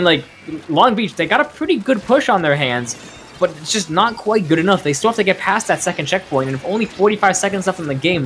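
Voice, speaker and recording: A man's voice: on the high side, fairly bright tone. A man giving analytical esports commentary in a tired, worn-out voice, full of "like" and "I mean". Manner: analytical, filler-heavy with "like" and "I mean".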